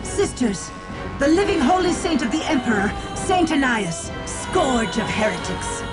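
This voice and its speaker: A woman's voice: high voice, very animated, measured pace. A woman playing a zealous warrior holy woman rallying her sisters in a game, declamatory and fervent, with music under her. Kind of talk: dramatic game acting.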